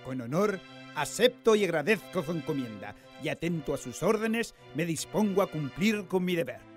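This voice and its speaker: A man's voice: on the high side, expressive, normal speed, soft background music, natural voice. A man playing a proud, ambitious, devout Spanish naval explorer in a radio drama, with grandiose, formal delivery. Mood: dutiful, honored.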